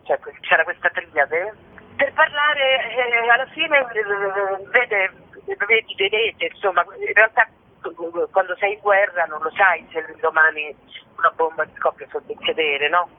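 A woman's voice: medium pitch, a little slow, slight background noise. A woman from Italy, a theatre actor and director, talking discursively and emphatically as a radio interview guest. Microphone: phone call to a radio show. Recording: narrow, tinny phone line.

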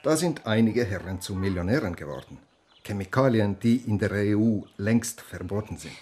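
A man's voice: fairly low pitch, animated, moderate pace, darkish tone, slightly rough. A man acting a dry, courteous Estonian police inspector in a German radio drama. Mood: matter-of-fact.

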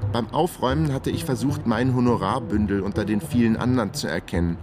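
A man's voice: medium pitch, fast talker, close to an everyday voice. An actor playing a weary, chaotic investigator who narrates his own radio crime story with dry, wry understatement. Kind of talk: radio crime drama first-person narration. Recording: radio production with prominent music bed. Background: music.

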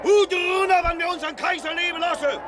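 An actor playing a bullying, loudmouthed patriot in a radio play, shouting and hectoring. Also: male voice, very high voice, fairly even intonation, fast talker. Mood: belligerent. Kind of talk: German radio play acting. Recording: very noisy outdoor crowd scene.

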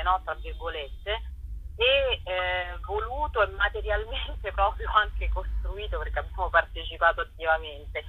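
A woman theatre director talking enthusiastically about her theatre in an interview, pausing often with fillers. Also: on the low side, sharp tone, husky, highs cut off. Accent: Roman Italian.

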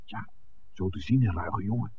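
An actor playing a shrewd, dryly teasing film scout in a 1960s radio play, speaking in an articulate, deliberate way. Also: male voice, on the low side, natural voice. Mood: wary, dry. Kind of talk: 1960s radio drama acting. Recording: muffled, noisy old radio broadcast recording.

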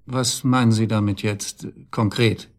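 A man acting in a German radio drama as a cautious, evasive financial adviser giving measured, guarded answers. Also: fairly low pitch, fairly even intonation, close to an everyday voice.

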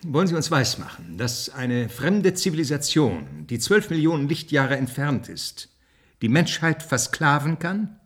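An actor in a German radio play, playing a brash, hot-tempered official who talks with sarcasm and contempt in a husky voice. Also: male voice, on the high side, big pitch swings, a little slow, full character voice. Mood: sceptical. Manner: sarcastic, blustering, coarse.

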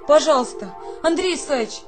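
A woman's voice: high-pitched, expressive, fairly quick, soft background music. A woman playing a dreamy, plaintive young soldier with poetic, theatrical delivery in a radio drama. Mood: pleading. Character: young male conscript, a dreamy, pleading, whiny would-be playwright.

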